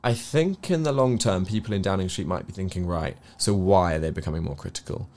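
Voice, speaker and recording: Low-voiced English man discussing politics. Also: brisk, darkish tone. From England. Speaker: co-host of a news review show.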